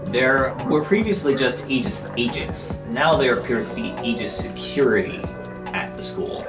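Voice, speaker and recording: A man's voice: mid-range voice, expressive, moderate pace, recorded from a distance. Male game master, narrating tabletop scenes in a mid-range voice over a music bed, audible room echo. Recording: roomy sound with music bed. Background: background music.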